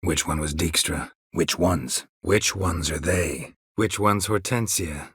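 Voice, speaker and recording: An actor playing a stoic, world-weary monster hunter in a video game, with a gravelly, husky voice and terse, dry, deadpan delivery. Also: male voice, low-pitched, slow pace, no room sound, full character voice. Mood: matter-of-fact.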